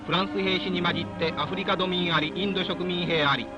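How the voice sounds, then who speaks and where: High-pitched man, 1940s Japanese newsreel narrator. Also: fairly even intonation, fast, muffled recording. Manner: declamatory, solemn, dramatic delivery. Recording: old 1940s film soundtrack, loud music behind. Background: loud music.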